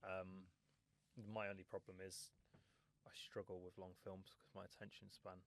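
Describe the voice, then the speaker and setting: A man's voice: deep voice, moderate pace, vocal fry, slight background noise. A young man, co-hosting a casual film podcast in a rambling, easygoing way. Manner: relaxed, rambling, laughs often, swears casually. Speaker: podcast co-host.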